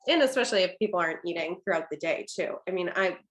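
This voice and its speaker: A woman's voice: fairly low pitch, lively intonation. A woman wedding planner hosting a webinar, chatty and agreeable in casual conversation. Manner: chatty, agreeable, quick to laugh along. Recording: echoey room.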